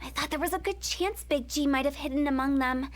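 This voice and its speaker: A woman's voice: high voice, fairly quick, natural voice. A woman voicing a playful young female funeral parlor director in a video game, breezy, confident and mischievous. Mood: wry.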